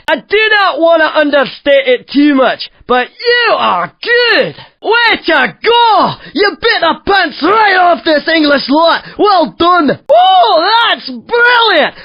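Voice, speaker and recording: A man's voice: very high voice, no room sound, heavily acted. An actor playing a cheeky, theatrical male racing announcer in a video game. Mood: gushing excitement. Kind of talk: animated game commentary. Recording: slightly dull, dry game audio.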